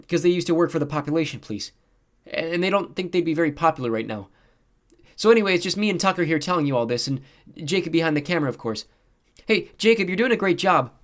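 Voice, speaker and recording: A man voicing an excitable, chatty teenage girl who is playing at being a television reporter in a story. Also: on the high side, voice pushed up, close to an everyday voice.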